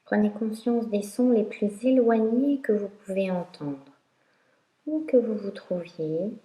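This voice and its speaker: A woman teaching yoga nidra, guiding a meditation in a calm, instructional way with long pauses. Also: medium pitch. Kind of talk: guided meditation narration. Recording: roomy echo.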